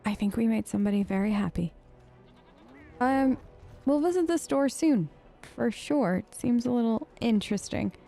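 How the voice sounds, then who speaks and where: A woman hosting a casual role-play let's play, talking in a playful, theatrical, halting way as her character. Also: fairly high pitch, lively intonation, creaky, warm tone, faint music behind. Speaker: gaming host, role-playing as her character.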